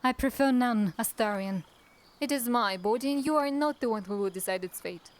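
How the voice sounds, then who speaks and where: A woman playing a guarded, defiant dark elf assassin in a fantasy drama, with a terse, cool delivery. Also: fairly high pitch, normal speed, natural voice. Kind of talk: cinematic fantasy game-style acting.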